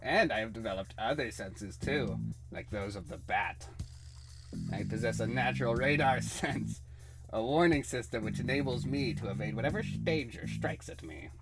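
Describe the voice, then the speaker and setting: Gloating comic book supervillain, bitter and grandiose, swoopy delivery, music behind. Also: male voice, lowish voice, lively intonation, normal speed, creaky, rough recording. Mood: menacing triumph. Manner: theatrical, grandiose, menacing. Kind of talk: dramatic comic book reading.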